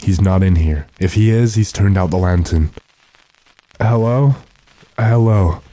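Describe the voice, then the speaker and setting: A man playing a resolute, impatient gentleman master in old-time radio drama, giving commanding, clipped instructions. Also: sits low, a little slow, slight background noise, rough recording. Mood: alarmed.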